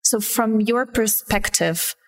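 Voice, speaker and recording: A woman hosting a business podcast, delivering a scripted intro and interview questions in a polished, measured way. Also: a little high. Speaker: podcast host.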